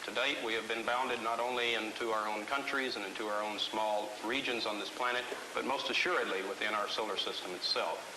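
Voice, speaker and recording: A man's voice: on the low side, fairly even intonation, a little fast, few pauses. A man giving a formal, articulate explanation as a scientist. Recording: echoey, hissy vintage film soundtrack.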